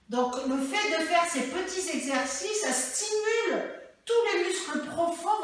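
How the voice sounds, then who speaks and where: A middle-aged woman leading a gentle balance exercise class, calm and instructive, often adding "hein", filmed by a distant camera in a bare room. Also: high-pitched, heavy reverb. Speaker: fitness instructor. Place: bare living room with hard floor, indoors. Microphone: far camera.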